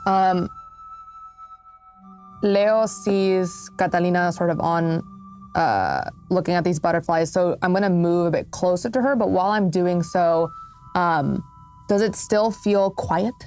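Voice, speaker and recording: A woman's voice: on the low side, measured pace, darkish tone, soft background music. A woman playing a tabletop game, describing her character's moves hesitantly as she thinks aloud. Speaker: player. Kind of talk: casual tabletop game play.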